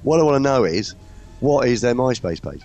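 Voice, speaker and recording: A man hosting an internet radio show, husky and throaty this week, joking in laid-back banter. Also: medium pitch, lively intonation, a little fast, darkish tone, dull audio, faint music behind. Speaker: radio host. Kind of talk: casual radio chat.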